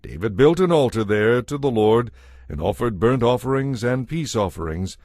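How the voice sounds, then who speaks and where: A man narrating scripture from a script in a measured, solemn manner. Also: medium pitch, animated, a little mellow, no echo, clean studio sound.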